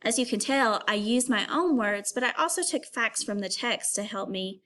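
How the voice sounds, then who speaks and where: A woman with a fairly high voice and very animated intonation gives a read-aloud lesson for children. She speaks as an elementary teacher, phrasing things clearly and instructing young listeners step by step. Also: moderate pace, slight background noise.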